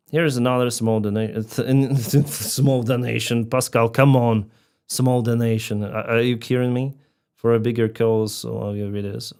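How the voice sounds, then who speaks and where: A man with a Ukrainian accent chats on a live stream, reading donor names aloud and laughing easily between them. His voice sits fairly low. He talks at an unhurried, drawn-out pace. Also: darkish tone, smooth. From Crimea. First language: Russian. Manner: chatty, laughs often, reads out donor names. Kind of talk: casual live stream chat reading donations.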